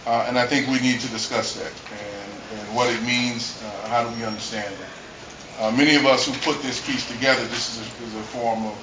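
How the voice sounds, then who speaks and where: A man moderates a conference panel in a loose, halting way. His voice carries a roomy echo. It sounds muffled and noisy from far off. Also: mid-range voice, steady tone. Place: conference hall.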